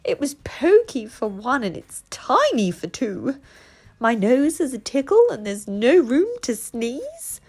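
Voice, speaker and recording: A storybook little old lady, acted out by a woman, fretful and exasperated. Her voice sits very high and swoops wildly, stretching words slowly into dramatic pleas and wails. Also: big pitch swings, darkish tone, slightly rough, exaggerated voice. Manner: dramatic, drawn-out pleading and wailing. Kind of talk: children's storybook character voice.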